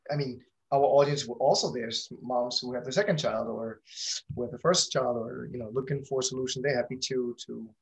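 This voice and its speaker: A man's voice: mid-range voice, vocal fry, rough recording. A middle-aged man with a German accent, a native German speaker, talking casually as an interview guest and often searching for words. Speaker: podcast guest, company founder.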